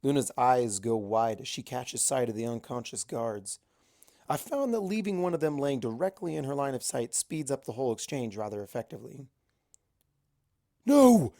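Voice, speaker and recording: A man's voice: medium pitch, animated, a little fast, mellow. A man reading a first-person adventure story aloud as an amateur audiobook narrator.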